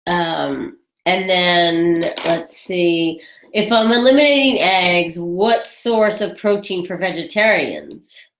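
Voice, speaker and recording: A woman with a low, congested voice talks through a webinar Q&A in an echoey room. She chats loosely and thinks aloud between viewer questions. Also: slow pace, fairly bright tone. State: congested with a head cold. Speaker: webinar host. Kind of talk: casual Q&A on a webinar.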